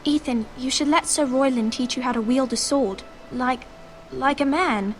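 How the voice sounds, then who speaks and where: A woman's voice: sits high, normal speed, a little hiss or hum, natural voice. An actress playing a protective, composed noble girl in a fantasy game, speaking in a proper, reassuring way. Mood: concerned, proper. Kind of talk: dramatic fantasy game acting.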